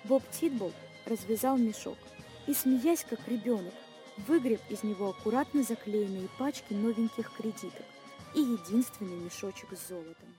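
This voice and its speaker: A woman's voice: medium pitch, expressive, normal speed, mellow, faint music behind, rough recording. A young woman reading scripted narration for an audio play of a short story. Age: young adult.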